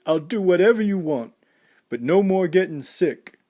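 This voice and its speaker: A man's voice: mid-range voice, lively intonation, unhurried, vocal fry, breathy edge, dull audio, exaggerated voice. A man voicing a tearful, pleading young boxer. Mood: pleading tearfully. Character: grieving young male boxer, tough and tender.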